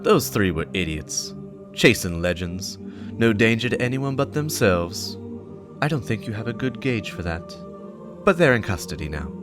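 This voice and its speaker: Man playing a sardonic, low-voiced rogue. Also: very animated, normal speed, music in the background. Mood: dismissive. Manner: sardonic, curt. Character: cynical, self-serving male rogue.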